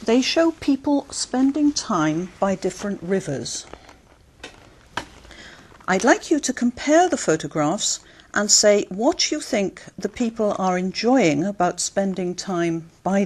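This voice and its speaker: A woman running a speaking exam, who reads out prompts in a measured, clear way and gives brief acknowledgements between answers. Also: mid-range voice, big pitch swings. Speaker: examiner. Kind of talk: scripted exam questions.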